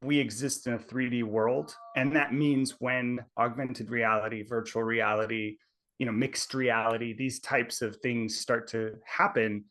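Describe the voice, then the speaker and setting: A man being interviewed as a technology executive explains ideas over a video call. His voice sits in an ordinary middle pitch, and he talks at length, often tagging his points with "right?". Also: level tone, a little slow, fairly bright tone. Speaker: tech company executive guest. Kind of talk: conversational explaining.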